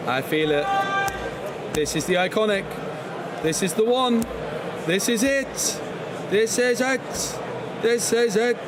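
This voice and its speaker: A man's voice: high voice, slow, pauses often. A man hosting a casual live card pack-opening stream at a busy gaming convention, playful and jokey. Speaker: streamer and card channel host. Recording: loud crowd noise of a busy event.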